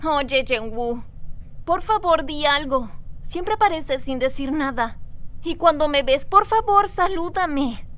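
Shy, easily flustered young anime heroine played by a woman, high and slow, Latin American Spanish, soft and girlish. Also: expressive, heavily acted. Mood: flustered, pleading. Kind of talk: anime fan dub acting.

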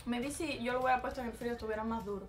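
A young woman speaks in a chatty Cuban Spanish accent and sits slightly high in her range. She talks casually to a camera at desk distance in a small bedroom and drops English words in here and there. Soft music plays faintly underneath. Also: fairly even intonation, very fast. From Cuba. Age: young adult. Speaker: vlogger. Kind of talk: casual vlog, taste test.

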